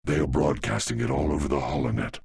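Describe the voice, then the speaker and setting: Very deep, gravelly henchman. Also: steady tone, fast, darkish tone, exaggerated voice. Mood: terse. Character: grave, terse male henchman of a crime lord. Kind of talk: video game cutscene acting.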